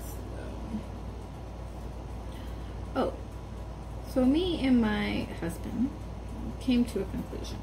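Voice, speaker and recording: A woman's voice: fairly high pitch, lively intonation, drawn-out words, creaky, long pauses. A young woman in a casual personal vlog, talking in a rambling, hesitant way with many ums and restarts and with strongly stressed R sounds. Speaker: vlogger. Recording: background noise.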